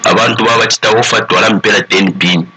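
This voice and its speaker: Male, low voice, Zambian accent, emphatic radio guest, repetitive appeals, language mixing, crowd sound behind. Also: steady tone, fast. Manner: emphatic, repeats points, switches languages mid-sentence. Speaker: expert guest. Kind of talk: conversational advocacy in a radio interview.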